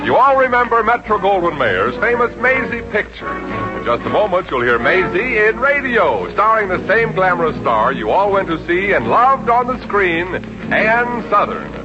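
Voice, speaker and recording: A man's voice: sits high, sing-song, moderate pace. An American man announcing a programme in 1950s radio style. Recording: dull old radio recording, loud music.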